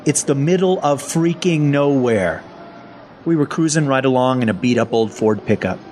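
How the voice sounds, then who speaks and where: A man's voice: medium pitch, normal speed, a little hiss or hum, natural voice. A man narrating audio fiction in the first person as a sarcastic, foul-mouthed captive survivor who is part zombie. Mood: irritated, animated. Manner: sardonic, conversational, expressive.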